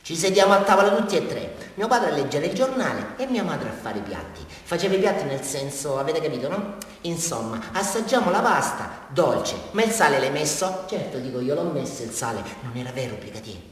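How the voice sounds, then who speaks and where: A man's voice: on the high side, sing-song, a little fast. An actor playing an agitated small-town man with a southern Italian accent, rambling and repeating himself in a theatrical confession to a police sergeant. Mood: rambling, confiding. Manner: rambling, repetitive, swinging from whispers to outbursts. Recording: very echoey hall, distant microphone.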